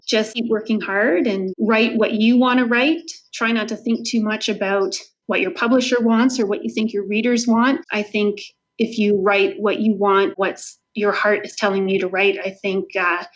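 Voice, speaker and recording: A Canadian woman speaks as an author being interviewed, in an earnest, conversational way. She thinks aloud in a casual style, at a middling pitch. The recording is a little dull and lo-fi. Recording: dull lo-fi audio.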